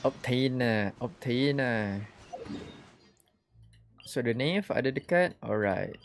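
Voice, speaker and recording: A man's voice: mid-range voice, animated, slow, slight background noise. A man with a Malaysian accent giving casual, laid-back live game commentary, switching between languages as a native Malay speaker. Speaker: game streamer. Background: game music.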